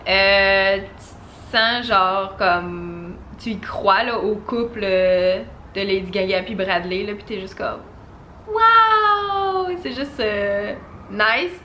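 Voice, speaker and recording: A young woman with a Québécois French accent, chatting casually to camera as a vlogger and giving a relaxed film review. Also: mid-range voice, slow pace, a little hiss or hum. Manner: chatty and animated, full of casual fillers.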